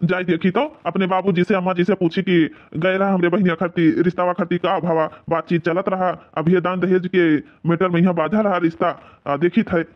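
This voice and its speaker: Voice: mid-range voice, flat delivery, fairly quick, highs cut off, close to an everyday voice. A performer voicing an agreeable, reassuring village family man in an Awadhi cartoon comedy, with a coaxing manner and an Awadhi accent.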